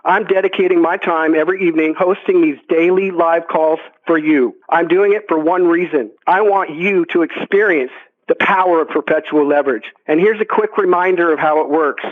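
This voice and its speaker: A man hosting a sales conference call, pitching earnestly and emphatically to members. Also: moderate pace. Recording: tinny phone conference line.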